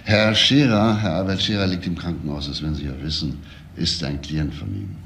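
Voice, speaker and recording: A very low-pitched male detective from a crime radio play. He is composed and persistent, putting clipped, deliberate questions. There is faint noise behind him. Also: fairly bright tone. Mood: matter-of-fact.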